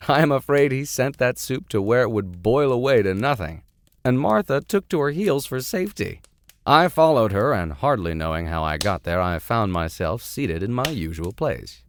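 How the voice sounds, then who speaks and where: A man's voice: medium pitch, big pitch swings, normal speed. A man reading a classic story aloud as host, theatrical in delivery, with wry asides. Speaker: reader, host.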